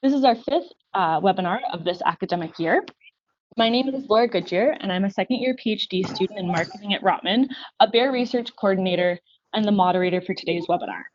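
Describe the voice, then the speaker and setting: A woman's voice: mid-range voice, quick pace, slight background noise. Young woman moderating a webinar. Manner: clear, formal, steady reading. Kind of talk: reading a scripted webinar introduction. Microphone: video call microphone. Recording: slightly dull lo-fi online call audio.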